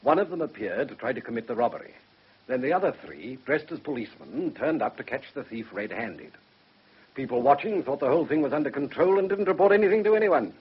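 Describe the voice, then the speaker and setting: A man acting a gruff, exasperated senior police superintendent in a British radio drama, brusque and sardonic. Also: medium pitch, sing-song, fast. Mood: grim. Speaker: actor in a radio play. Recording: slightly muffled radio drama recording.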